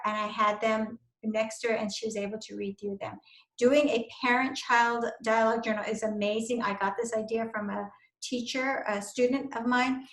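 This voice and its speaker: Middle-aged woman, instructor, fairly high voice, encouraging lecture tone, roomy echo. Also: fairly bright tone. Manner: earnest, encouraging teaching tone with fillers. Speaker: university instructor presenting to teachers. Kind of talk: recorded lecture over slides. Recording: echoey room.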